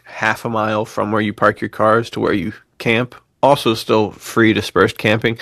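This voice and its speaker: Gravelly low-voiced man chatting on a podcast. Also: no echo, studio quality. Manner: enthusiastic, laid-back slang, frequent chuckling. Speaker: podcast co-host. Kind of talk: casual podcast chat, storytelling.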